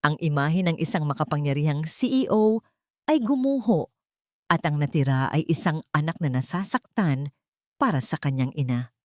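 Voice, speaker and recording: A woman narrates a scripted drama as a storyteller. Her voice sits a little low. The sound is very dry, with almost no room to it. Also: expressive, normal speed, darkish tone, no echo.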